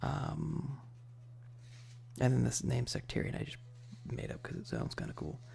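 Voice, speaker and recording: Gravelly, unhurried man in ASMR chat. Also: mid-range voice, a little mellow. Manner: hesitant, rambling, frequent pauses; often drops into breathy, whispery speech. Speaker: ASMR streamer. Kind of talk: soft casual ASMR livestream chat.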